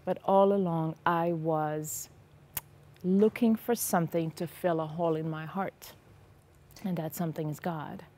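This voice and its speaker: A woman's voice: low-pitched, steady tone, unhurried, mellow. Earnest woman, hesitant speech. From Israel. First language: Hebrew. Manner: earnest, hesitant, many ums. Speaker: guest. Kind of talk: personal testimony in a TV interview. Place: TV studio set. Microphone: lapel.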